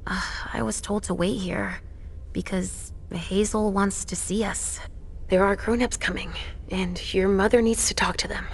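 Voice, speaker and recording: An actress playing a troubled, tender vampire seer mother in a video game, speaking in a hesitant, halting way. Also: medium pitch, fairly even intonation, gravelly, a little hiss or hum. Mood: worried. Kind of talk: dramatic game cutscene acting.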